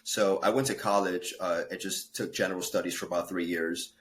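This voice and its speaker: A man's voice: low voice, fairly even intonation, a little fast, a bit bright. A man in his thirties, born in Peru and raised in the States, telling his story earnestly in a casual podcast interview, with fillers and self-corrections. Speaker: guest, fitness and life coach.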